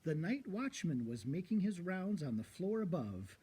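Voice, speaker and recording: A man reads a picture book to children as a guest storyteller. His delivery is very animated, with a mellow, slightly husky voice, and he often turns to ask young listeners questions. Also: sits high, moderate pace. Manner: expressive, child-directed.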